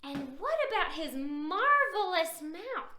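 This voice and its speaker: A woman's voice: very high-pitched, slow, very noisy. A woman hosting a children's picture-book read-aloud, talking straight to young listeners with questions and exaggerated exclamations. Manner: animated, exaggerated gasps and exclamations, addressing child listeners directly. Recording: echoey room.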